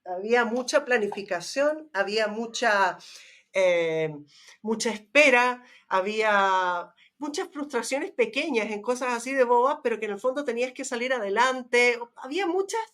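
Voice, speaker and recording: High-voiced woman, chatty storyteller. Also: animated, normal speed, fairly bright tone. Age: middle-aged. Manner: chatty storyteller, tags phrases with questions, acts out small sounds. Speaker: podcast host. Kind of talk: casual nostalgic conversation.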